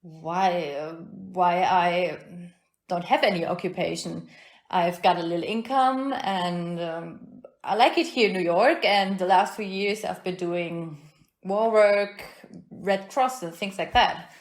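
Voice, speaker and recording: A woman's voice: lowish voice, takes it slowly, echoey room. A woman playing a feisty, unflappable single hotel resident in an audio play, speaking in a brusque, plain-spoken, indignant way. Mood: evasive, defensive.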